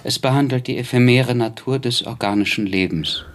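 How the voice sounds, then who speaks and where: An actor playing a devoted, dutiful caretaker in a radio play, speaking formally and with assurance. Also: male voice, medium pitch, brisk, slight background noise, lightly acted. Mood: composed, attentive. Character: devoted, dutiful male caretaker of an old scholar. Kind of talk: radio drama acting.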